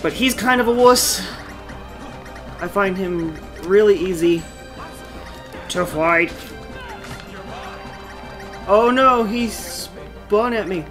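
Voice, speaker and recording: A man's voice: high voice, takes it slowly, pauses often, a bit bright. A man giving casual, playful commentary while he plays a video game. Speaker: gamer commentating on playthrough. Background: game music.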